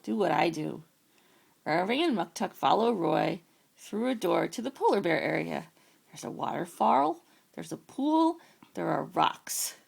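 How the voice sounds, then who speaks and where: A woman's voice: lowish voice, sing-song, unhurried, vocal fry. A woman reading a children's storybook aloud as a parent does at bedtime.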